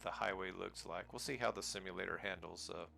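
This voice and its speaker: A man's voice: deep voice, fairly quick, vocal fry, pushed and bright, soft background music. An American man casually commenting on his flight simulator gameplay in a rambling, easygoing way, chuckling at himself now and then. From United States. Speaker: flight sim gaming channel host.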